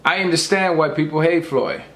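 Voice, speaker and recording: A man's voice: fairly high pitch, moderate pace, a bit bright, reverberant. A man losing his voice, ranting emphatically about boxing and lecturing his viewers. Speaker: boxing commentator. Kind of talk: opinionated rant to camera.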